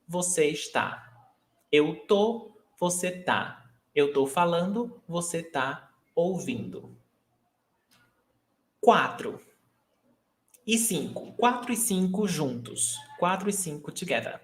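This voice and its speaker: A man teaching a language lesson, speaking slowly and deliberately and repeating each example sentence. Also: fairly high pitch, very animated, echoey room. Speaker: Portuguese teacher. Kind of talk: language lesson, mixing English and Portuguese.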